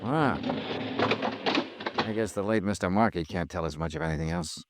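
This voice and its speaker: A man's voice: sits low, normal speed, very noisy, natural voice. An American actor playing a shrewd, wry freelance insurance investigator narrating his case in a 1950s radio drama. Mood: wry, thoughtful. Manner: hard-boiled, dryly wry. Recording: vintage radio recording, faint music.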